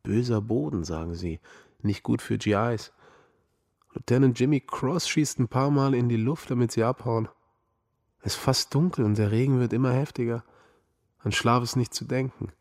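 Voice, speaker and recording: An actor playing a haunted, wry young American Vietnam veteran in a radio drama, talking colloquially and haltingly. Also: male voice, mid-range voice. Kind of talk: radio drama acting, storytelling. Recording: music behind the voice. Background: music.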